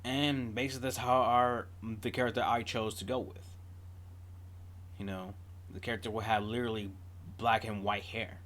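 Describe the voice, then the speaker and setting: A man's voice: on the low side, little pitch movement, moderate pace, a bit bright. A man giving casual, off-the-cuff reaction commentary as a video host, drifting into digressive storytelling.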